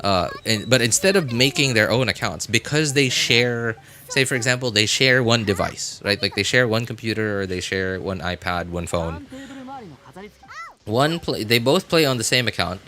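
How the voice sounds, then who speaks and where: A man's voice: fairly low pitch, animated, normal speed, sharp tone. A man hosting a game livestream, chatting casually and rambling as he repeats and reworks his phrases. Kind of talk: casual live stream chat.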